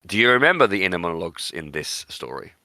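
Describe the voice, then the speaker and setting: A man's voice: sits low, big pitch swings, fast, bright, forceful tone, dry recording. A man hosting a podcast, discussing books in an opinionated, emphatic way and repeating words for stress. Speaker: podcast host.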